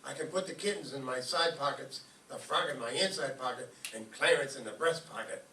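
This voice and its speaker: A man's voice: medium pitch, fairly quick, sharp tone. A man playing an eager, naive teenage schoolboy with gushing folksy slang in a radio comedy. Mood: eager, cheerful. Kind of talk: old-time radio comedy acting before an audience. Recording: roomy, distant microphone, faint noise.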